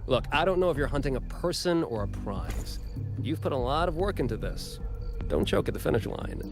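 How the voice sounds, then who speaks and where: Cool, wry street rat, lively pitch. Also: male voice, brisk, over music. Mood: wry, advising. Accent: American. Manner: laid-back, cool delivery. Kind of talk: video game voice acting.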